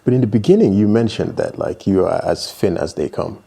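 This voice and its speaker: A low, gravelly man in his thirties hosts a sit-down interview. His tone is mellow and dark, and he asks his questions haltingly with many restarts. He nods along often with short replies. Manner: attentive, frequent backchannel, halting questions. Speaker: interview host. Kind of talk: casual interview. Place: small studio room, seated in armchair.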